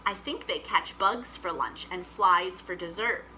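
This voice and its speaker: A woman's voice: lowish voice, unhurried, bright, forceful tone, a little hiss or hum, voice pushed down, rough recording. A woman voicing a patient, encouraging mother who explains things to her child in a picture book read-aloud. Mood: explaining. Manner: instructive, encouraging.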